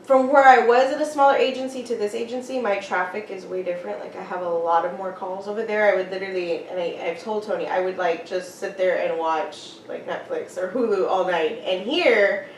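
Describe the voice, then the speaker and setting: A woman's voice: mid-range voice, steady tone, brisk, hardly any pauses. A woman guest on a casual podcast, easygoing and rambling, laughing often. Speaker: podcast guest, police dispatcher. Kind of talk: casual interview chat. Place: home. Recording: roomy, distant mic with faint noise.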